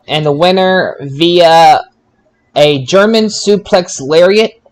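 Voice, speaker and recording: A man with a slightly high voice hosts a podcast. He talks casually, in the style of a sports recap between co-hosts. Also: low-quality recording. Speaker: podcast host. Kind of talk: casual wrestling show recap.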